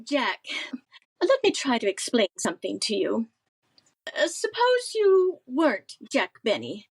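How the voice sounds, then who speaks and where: A woman playing a poised, glamorous film actress in 1930s-style radio comedy, with a deliberate, theatrical delivery. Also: a little high, wildly swooping pitch, husky, exaggerated voice. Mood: cool, frank.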